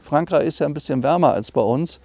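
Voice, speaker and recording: A man's voice: on the high side, fast, gravelly, mellow, no echo. A middle-aged man giving an informal, enthusiastic car walkaround as a classic car dealer, explaining details conversationally.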